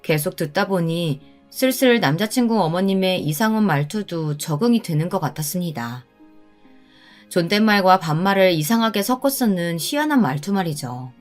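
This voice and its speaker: A woman's voice: on the low side, expressive, normal speed. A woman narrating a personal story in the first person, in a scripted radio-story style. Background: background music.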